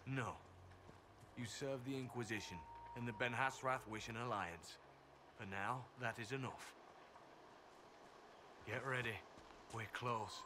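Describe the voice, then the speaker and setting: A man's voice: medium pitch, measured pace, pauses often, noisy background, natural voice. An actor playing a stern, zealous male elf agent in a video game, clipped, commanding and scornful. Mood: stern, disdainful. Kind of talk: dramatic video game acting.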